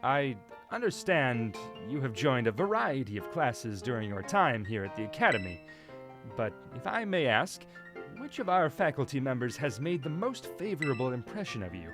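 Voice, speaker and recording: Cartoon fan dub: male actor as polite, formal, dignified older headmaster, mid-pitched, lively intonation, music behind. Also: lightly acted. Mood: courteous, pleased. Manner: formal, polite, measured wording.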